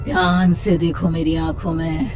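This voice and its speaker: A woman's voice: low-pitched, flat delivery, unhurried, a little mellow. Woman acting a menacing female evil spirit over music. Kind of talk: Hindi cartoon horror story acting.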